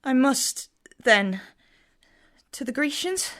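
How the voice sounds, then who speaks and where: A woman's voice: high voice, lively intonation, slow, a bit bright, exaggerated voice. A woman playing a grief-stricken young Trojan noblewoman in love, speaking classical verse with theatrical emotion in an audio drama. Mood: sorrowful.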